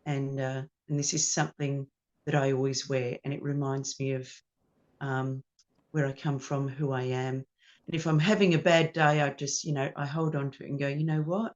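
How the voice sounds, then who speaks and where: A woman's voice: very deep voice, flat delivery, moderate pace, highs cut off. A woman chats as a guest over a video call from her home music studio. She is friendly and loose, with plenty of ums as she goes. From New Zealand. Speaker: ukulele teacher and performer, guest. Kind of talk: casual interview chat.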